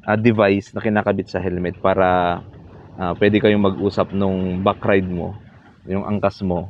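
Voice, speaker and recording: A man's voice: low voice, flat delivery, unhurried. A Filipino man talking casually as a motorcycle vlogger and reviewer. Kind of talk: casual vlog talk. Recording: muffled mic, loud road and vehicle noise.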